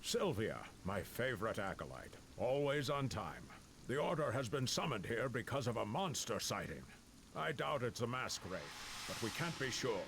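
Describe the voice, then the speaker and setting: An actor playing a commanding master of monster hunters in a video game. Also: male voice, mid-range voice, sing-song, moderate pace, fairly bright tone, a little hiss or hum. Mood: brisk, approving. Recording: music behind the voice.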